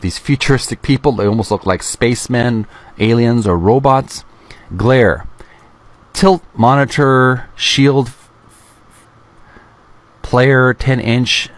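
A middle-aged man hosting a video, reading aloud from a book with casual commentary in a halting, deliberate way into a close microphone. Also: medium pitch. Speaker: video host.

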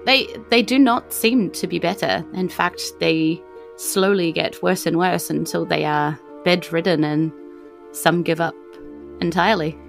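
A woman at a game table plays a gentle female healer who sells potions. Her voice sits fairly low and she speaks cautiously, in halting, measured phrases. Music plays faintly behind her. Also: creaky. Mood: grave.

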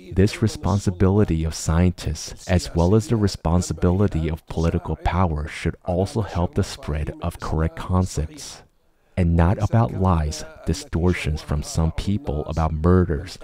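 A man reading a scripted religious talk as a voice-over translation, in a measured, formal manner. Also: low-pitched, dark tone.

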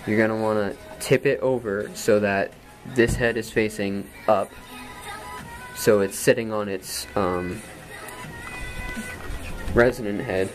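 A man in his twenties with a low voice, explaining drum tuning in a rambling, casual way with many ums, recorded in a small padded bedroom on a camera mic. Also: fairly even intonation, slow pace, long pauses, faint music behind. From Florida, USA. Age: 20s. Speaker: drum instructor. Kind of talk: casual tutorial. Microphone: camera mic from a few feet away.

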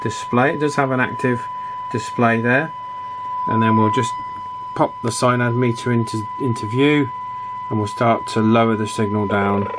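British man over loud humming radio noise. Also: mid-range voice, moderate pace. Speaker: radio reviewer. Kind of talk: casual bench test commentary.

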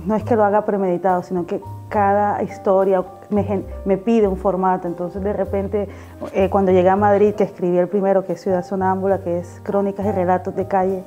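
A woman's voice: medium pitch, little pitch movement, fairly quick, warm tone, lo-fi sound, soft background music. A woman in her thirties with a Caribbean Colombian accent, chatting casually as a guest writer in an interview. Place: small TV studio set, indoors.

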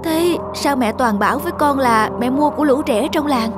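A woman voicing a grown child who speaks earnestly and pleadingly to a mother, for an animated story. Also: high-pitched, moderate pace, close to an everyday voice. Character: grown child, remorseful and caring. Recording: loud background music.

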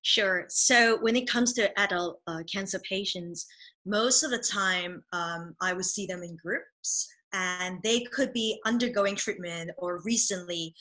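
A woman in her thirties, Cantonese her first language, talks over a home video call with a bright, ringing voice. She is a dance therapist, thoughtful and a little halting, full of ums and small restarts as she explains her work. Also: medium pitch, rough recording. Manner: thoughtful, lots of ums and restarts, laughs easily. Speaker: dance movement therapist, guest. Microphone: video call webcam mic.

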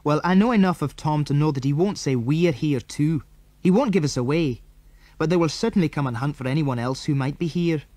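A man's voice: a little high, brisk, dark tone, no room sound, lightly acted. A British man voicing a resolute, protective boy leader in an adventure storybook. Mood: grave, determined.

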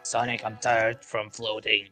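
A man's voice: fairly low pitch, flat delivery, unhurried, music in the background, natural voice. A man voicing a crude, exasperated talking sword sidekick, with blunt short remarks in an improvised comedy fan dub.

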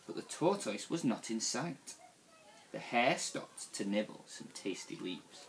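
A young man reading a picture book aloud to children in an expressive, deliberate storytelling style. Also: mid-range voice, vocal fry, a little hiss or hum, low-quality recording. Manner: animated, deliberate storytelling delivery. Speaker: school staff member reading to young children.

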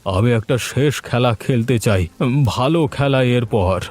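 A man's voice: medium pitch, measured pace, a little mellow, close to an everyday voice. A man voicing a blustering, heavyset village gang boss in a cartoon fairy tale, with a melodramatic delivery. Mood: subdued pleading. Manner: threatening, melodramatic.

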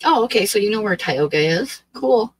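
A woman's voice: medium pitch, animated, moderate pace, slightly rough, poor audio. A woman in her sixties from Oregon, hosting a livestream and chatting casually with her viewers in a rambling, hesitant way full of fillers. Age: 60s. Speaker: livestream host. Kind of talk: casual chat with live chat. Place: small dim room with draped wall.

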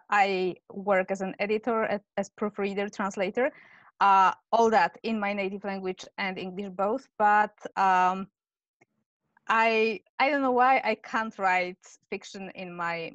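A woman talks haltingly on a video call, stopping often on fillers and restarting her sentences. She speaks through a headset, and the sound is dull and dry, with little room in it. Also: medium pitch, no room sound. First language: Polish. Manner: hesitant, many fillers and restarts. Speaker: author panelist. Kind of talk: casual panel chat.

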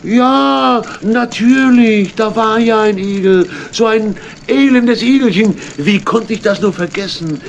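An actor playing a sly, whining old tramp and thief in a children's audio drama, theatrical, stammering and evasive, with a gravelly voice. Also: male voice, high voice, measured pace, hardly any pauses, a little hiss or hum. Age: older. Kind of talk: 1970s-80s German children's audio drama acting.

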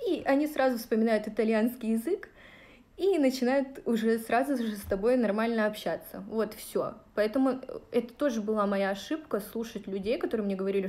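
A woman in her thirties from Russia talks straight to her viewers during a livestream. Her delivery is chatty and direct, peppered with fillers, and her pitch sits in a middle range for a woman. She is recorded on a phone held at arm's length in a small indoor room. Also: darkish tone. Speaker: vlogger answering viewer questions. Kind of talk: casual livestream talk.

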